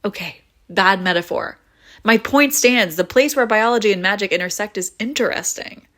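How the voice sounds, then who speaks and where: A woman's voice: medium pitch, normal speed, fairly bright tone, close to an everyday voice. Wry, teasing young vampire woman, acted by a woman. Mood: enthusiastic, teasing. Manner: casual, wry, teasing, swearing freely. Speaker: audio roleplay voice actor. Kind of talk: intimate scripted audio roleplay, conversational.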